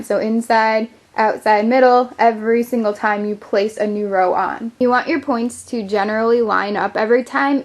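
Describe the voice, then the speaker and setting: A young woman hosting a craft tutorial, explaining each step in a chatty, upbeat way while she is losing her voice. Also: on the high side. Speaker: craft channel host.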